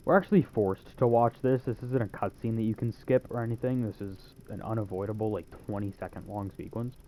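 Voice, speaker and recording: A man's voice: fairly low pitch, fairly quick, mellow, rough recording. A man giving a casual video game walkthrough in an offhand way, with wry asides. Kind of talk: casual gameplay walkthrough commentary.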